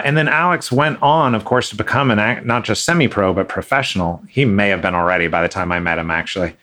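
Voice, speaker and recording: A man's voice: on the low side, a bit bright. Chatty male podcast host. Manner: friendly, chatty, with many fillers and restarts. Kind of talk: casual interview chat.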